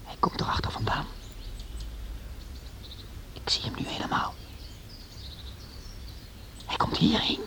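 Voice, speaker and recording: An actor playing a watchful, bossy young adventurer in a radio drama, with a wary, conspiratorial way of talking. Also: male voice, takes it slowly, pauses often, whispered. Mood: hushed, watchful. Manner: conspiratorial, hushed, giving short orders.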